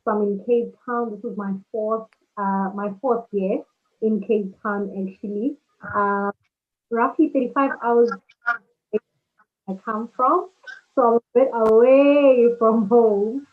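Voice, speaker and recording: Young woman from South Africa, relaxed chatty student guest, higher voice, tinny phone-like line. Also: slow. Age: young adult. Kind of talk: casual chat. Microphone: video call.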